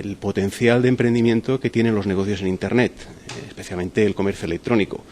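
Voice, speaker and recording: A man with a slightly low voice, recorded with faint ambient noise behind him. He explains a forum programme step by step, often doubling back on words. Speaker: university event presenter. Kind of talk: spoken presentation of a forum. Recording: clear background ambient noise.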